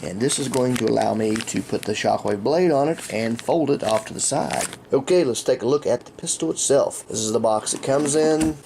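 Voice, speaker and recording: A man with an American accent and a mid-range, everyday voice walks through a review in a relaxed, chatty way. He sounds like he is talking while handling things on a table indoors. A faint hum runs under his voice. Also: mid-range voice. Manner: relaxed, conversational, explaining as he handles the gun. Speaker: gun reviewer. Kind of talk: casual product review.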